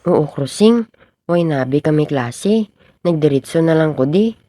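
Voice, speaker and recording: A woman's voice: low voice, animated, unhurried, warm tone, no room sound. A young woman playing an earnest, lovestruck schoolboy in an amateur radio drama. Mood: smitten. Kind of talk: amateur radio drama acting in Filipino regional language.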